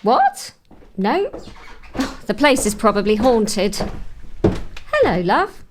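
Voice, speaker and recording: A caring, down-to-earth mother played by an actress in an audio drama. Her voice is slightly high, with very animated pitch movement. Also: takes it slowly, background noise, exaggerated voice. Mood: breezy, caring.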